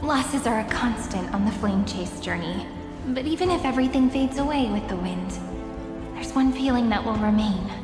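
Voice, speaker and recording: An actress playing a gentle, dreamy young heroine in a video game, speaking in a tender, poetic, solemn way. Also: female voice, on the high side, animated, normal speed, lightly acted. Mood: wistful, tender. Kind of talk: dramatic game voice acting. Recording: echoey long reverb under clear music.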